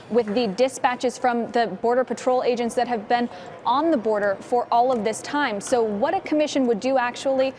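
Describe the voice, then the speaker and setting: A woman's voice: on the high side, a little mellow, slight background noise. An American woman reporting live as a television news correspondent.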